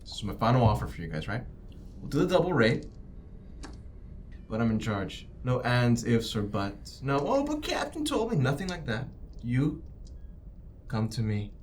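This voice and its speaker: A man's voice: medium pitch, very animated, moderate pace, pauses often, a little hiss or hum, close to an everyday voice. A man playing a bossy, condescending corporate agent in a tabletop roleplaying game. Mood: smug, commanding. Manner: domineering, condescending. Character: bossy, smug corporate company agent, male.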